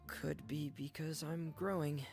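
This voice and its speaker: Casting: soft-hearted, withdrawn teenage boy for a game; unhurried, hesitant delivery with some vocal fry. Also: fairly high pitch, fairly even intonation, over music. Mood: nervous, evasive. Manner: hesitant, halting. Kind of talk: video game voice acting.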